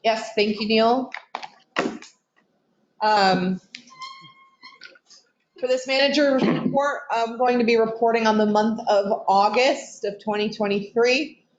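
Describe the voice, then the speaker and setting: A woman manager giving a monthly report at a meeting, hoarse and losing her voice, apologetic and hesitant. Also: fairly high pitch, steady tone, slow, pauses often. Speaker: community manager. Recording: echoey room, dull sound, faint noise.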